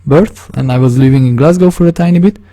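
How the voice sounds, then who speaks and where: A man from Hungary with a Hungarian accent, narrating a drawing tutorial in a casual, halting way, searching for words and correcting himself. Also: mid-range voice, mellow.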